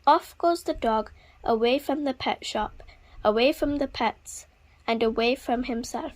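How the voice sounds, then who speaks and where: A woman reading a children's story aloud expressively, with deliberate, dramatic emphasis on repeated words. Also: a little high. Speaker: children's book reader.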